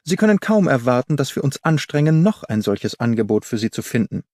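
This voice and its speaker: A man's voice: medium pitch, big pitch swings, a little fast, darkish tone, no echo, studio quality, close to an everyday voice. A man voicing a curt, annoyed female employment agent in an audiobook, pointed and formal. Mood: snippy.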